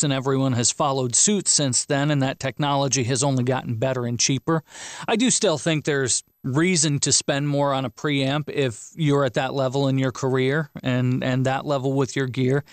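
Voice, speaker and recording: Male expert for a technical panel: mid-pitched, measured and explanatory, recorded dry. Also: no room sound. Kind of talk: unscripted technical discussion.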